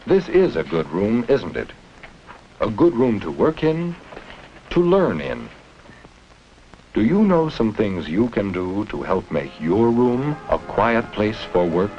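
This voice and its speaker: Low-voiced man narrating, old film soundtrack. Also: sing-song, slow, highs cut off. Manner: instructive, simple phrasing with leading questions. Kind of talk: 1950s educational film narration, addressing children. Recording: noisy, band-limited 1950s film soundtrack.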